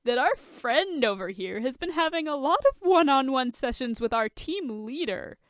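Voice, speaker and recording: A woman's voice: sits high, expressive, moderate pace, no room sound, studio quality, pitched above the performer's own voice. A woman voicing a mischievous, gossipy male drinking buddy in a story reading, sly and teasing.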